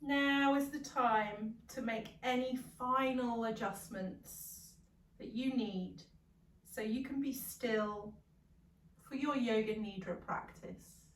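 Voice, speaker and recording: A woman leading a guided meditation as a yoga nidra guide, calm, soothing and gentle, leaving long pauses. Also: a little high, slight background noise. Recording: roomy echo, distant from the mic.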